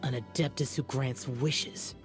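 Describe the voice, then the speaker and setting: A man's voice: medium pitch, fairly even intonation, measured pace, natural voice. A man voicing a stern, aloof, youthful immortal demon slayer in a video game, with terse, solemn and formal old-fashioned phrasing. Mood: disdainful. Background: game music.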